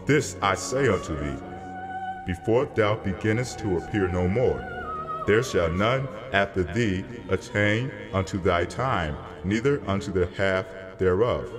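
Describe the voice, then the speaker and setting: A low-voiced, unhurried heavenly messenger, played by a man, delivers solemn scripture in archaic wording. The words ring through a long reverberant hall with a crowd audible behind. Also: animated, music in the background. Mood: stern. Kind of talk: dramatized scripture reading.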